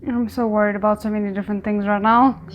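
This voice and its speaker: A woman's voice: mid-range voice, level tone, fast, dull audio, slight background noise. A woman hosting a reaction video, commenting casually on a drama in short exclamations and musings. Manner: exclaims and muses aloud in short remarks.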